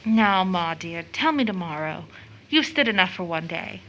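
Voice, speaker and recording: A woman's voice: fairly low pitch, expressive, moderate pace, fairly bright tone, muffled recording. A woman playing a tender, devoted young daughter in an audio drama, emotional and soothing as she speaks to her mother. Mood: tender, distressed.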